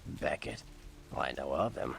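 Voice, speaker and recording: An actor playing a gruff, sardonic male vampire mentor in a video game, with a gravelly voice and slangy, laid-back delivery. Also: a bass voice, expressive, moderate pace, slight background noise, full character voice. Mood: intrigued.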